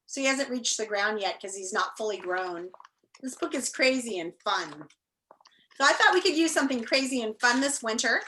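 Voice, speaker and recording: A woman's voice: on the high side, expressive, normal speed, bright, forceful tone, a little hiss or hum, poor audio. A woman teacher talking and reading aloud to an online class, enthusiastic and encouraging. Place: indoors, on a video call.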